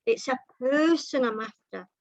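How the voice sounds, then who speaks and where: A woman's voice: sits high, a little slow, no echo. A woman voicing a polite, evasive masked cowboy in a story read aloud. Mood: patient, correcting. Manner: courteous, addresses people as ma'am and sir.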